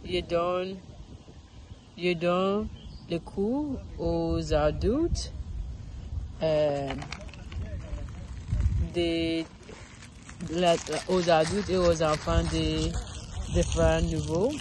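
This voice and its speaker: A woman speaks slowly and haltingly, often stopping to restart a phrase. She talks into a phone camera outdoors in a park. Faint outdoor sounds stay in the background. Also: on the low side, steady tone, noisy background. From Ghana. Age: 30s. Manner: halting, searching for words, frequent restarts. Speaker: vlogger learning French. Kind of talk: casual self-filmed practice monologue.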